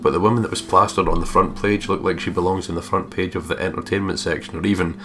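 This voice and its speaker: A man's voice: low-pitched, fairly even intonation, fast talker. A man hosting a let's play, reading a visual novel aloud with jokey, sweary asides. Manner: jokey, swears freely, breaks off into ad-libs. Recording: game music behind the voice.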